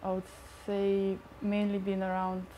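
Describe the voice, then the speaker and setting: Hesitant young woman, flat slow speech. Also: mid-range voice, muffled recording, slight background noise. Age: young adult. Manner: hesitant, halting with fillers. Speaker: interviewee. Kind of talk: documentary interview. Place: bedroom with posters.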